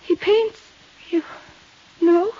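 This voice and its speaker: An actress playing a fragile, unhinged wealthy widow in a 1950s radio drama, rambling in halting, repetitive phrases. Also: female voice, extremely high pitch, fairly even intonation, dark tone, heavily acted. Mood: woozy, chatty. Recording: muffled, hissy 1950s radio recording.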